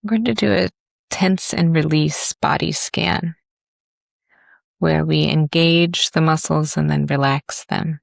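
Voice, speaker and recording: A woman teaching a guided meditation and body scan in a gentle, unhurried manner with long pauses. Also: deep voice, fairly even intonation, vocal fry, no echo.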